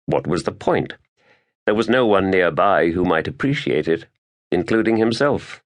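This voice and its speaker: Man, low-pitched voice, audiobook narration, grave, measured, dramatic fantasy storytelling. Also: expressive. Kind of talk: scripted narration of epic fantasy.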